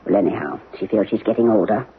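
An actor playing a calm, frank psychiatrist in a 1970s radio drama, talking in a measured, probing way. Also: male voice, lowish voice, close to an everyday voice. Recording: lo-fi 1970s radio broadcast, noisy.

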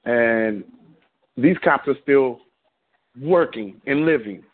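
A man's voice: mid-range voice, expressive, takes it slowly. A man calling in to a talk radio show, blunt and impassioned, talking in long rambling runs. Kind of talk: call-in radio commentary. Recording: tinny phone line.

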